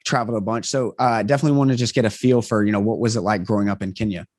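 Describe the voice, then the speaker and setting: A man from the southern United States hosting a podcast, asking questions in a relaxed, chatty way and laughing easily. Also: on the low side, very fast, no room sound, clean studio sound. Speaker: podcast host. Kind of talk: casual interview chat.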